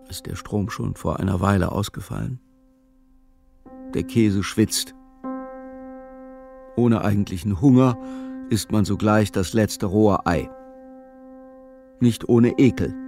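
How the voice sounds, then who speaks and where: A male radio-play narrator reads literary text very slowly and matter-of-factly. His voice is fairly low and heavy with creak. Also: dark tone, soft background music.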